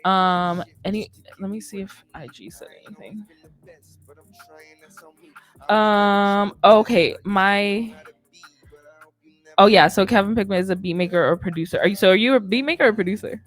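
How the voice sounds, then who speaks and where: A woman's voice: mid-range voice, moderate pace, pauses often, soft background music. A young woman hosting a radio show, chatting casually and informally in a loose, rambling way with plenty of slang. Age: young adult. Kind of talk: casual podcast chat. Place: indoor studio with curtains. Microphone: close studio microphone on boom arm, headset on.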